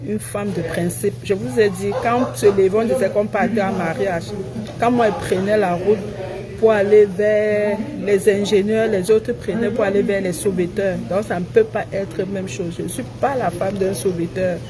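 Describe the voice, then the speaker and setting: A woman speaks over very loud crowd-like chatter, at a middle pitch. She delivers a casual live-stream monologue in an emphatic, declamatory way, often repeating phrases for weight. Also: fairly even intonation, normal speed, rough recording. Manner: self-assured, declamatory.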